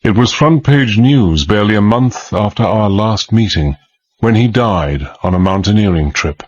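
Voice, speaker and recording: An actor playing a reflective adventurer, giving measured first-person narration in a radio drama. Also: male voice, lowish voice, expressive, no room sound, muffled recording. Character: reflective male adventurer recalling his past.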